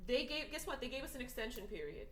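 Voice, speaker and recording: A woman's voice: on the high side, sing-song, fast, fairly bright tone. A woman from Portugal talking earnestly in a casual conversation, building long emphatic arguments. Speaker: podcast host. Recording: echoey room with faint noise.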